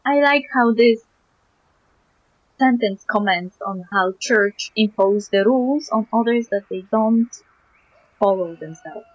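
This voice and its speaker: A woman's voice: a little high, takes it slowly, dry recording. A woman streamer giving casual, opinionated and irreverent commentary on a game, close to a slightly dull microphone.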